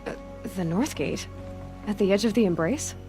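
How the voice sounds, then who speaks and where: A woman acting as a young outcast huntress in a video game, with a medium-pitched voice. She is headstrong and direct, given to dry sarcasm and pointed questions, over light background music. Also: lively intonation, moderate pace, mellow, close to an everyday voice. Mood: curious.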